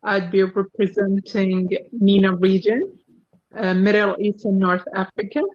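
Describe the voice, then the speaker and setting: A young woman from Iraq speaks slowly and earnestly as she introduces herself on a video call. Her voice is dry and close, with a dull, muffled quality. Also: medium pitch, steady tone, dry recording. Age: young adult. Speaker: regional representative.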